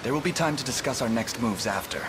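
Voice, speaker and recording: A man's voice: medium pitch, expressive, fast talker, noisy background, close to an everyday voice. A man playing a just, composed prince in a video game, speaking formally and decisively. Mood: calm, resolute. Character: young noble prince, calm, just army leader. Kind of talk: video game cutscene voice acting.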